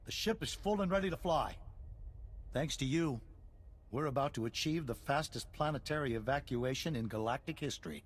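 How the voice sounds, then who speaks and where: An actor playing an earnest, resolute colony leader in a science-fiction video game, speaking in a formal, emphatic way. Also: male voice, mid-range voice, normal speed, close to an everyday voice. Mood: grateful, earnest. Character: earnest middle-aged male colony leader, grateful and resolute. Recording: clear background noise and ambience.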